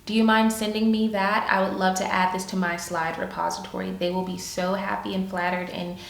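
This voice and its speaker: A woman's voice: medium pitch, level tone, brisk, creaky. A young woman giving casual, upbeat career advice in a chatty vlog style, with lots of "like"s. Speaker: YouTube creator, product and project manager. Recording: echoey room.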